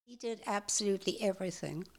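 An older woman hosting a home share, talking casually in an interview, halting and repeating words with many fillers. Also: medium pitch.

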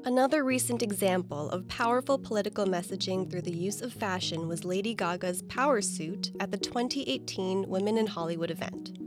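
A woman's voice: medium pitch, fairly quick, hardly any pauses. Woman reading a news feature script. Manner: even, read-aloud delivery. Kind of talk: scripted narration. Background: background music.

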